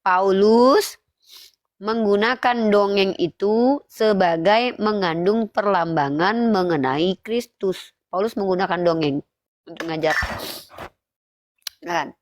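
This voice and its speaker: A woman's voice: medium pitch, slow pace, pauses often, dry recording, clean studio sound. A woman hosting a live religious debate stream, blunt and challenging, with a slight head cold. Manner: blunt, challenging, sure of herself.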